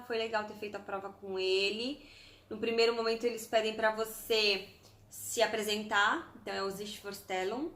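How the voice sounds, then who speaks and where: A woman's voice: on the high side, a bit bright. Chatty Brazilian woman in an echoey room. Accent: Brazilian Portuguese, from Santos. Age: 30s. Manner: chatty, spells out lists item by item with German words mixed in. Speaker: vlogger. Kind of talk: casual chat to camera.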